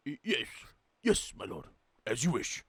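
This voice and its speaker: A man playing a flustered, anxious alien viceroy with halting delivery in a comedic script read. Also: mid-range voice, sing-song, vocal fry, husky, dry recording, full character voice. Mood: nervous, deferential.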